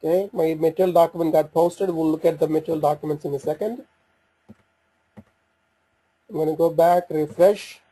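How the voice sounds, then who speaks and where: Flat, husky male software demo presenter. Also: a little high, no echo, low-quality recording. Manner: halting, thinking aloud while working. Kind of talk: informal screen-share software walkthrough.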